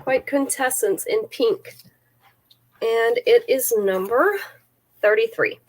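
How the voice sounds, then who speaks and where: Woman, slightly high voice, stuffy nose, chatty live seller, detailed descriptions, close camera mic. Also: slow, poor audio. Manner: chatty, careful item-by-item descriptions.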